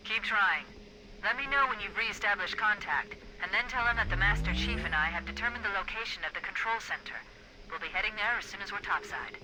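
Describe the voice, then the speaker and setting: A woman's voice: medium pitch, expressive, a little fast, background noise, lightly acted. A woman playing a confident, analytical military artificial intelligence in a video game. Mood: assured, commanding.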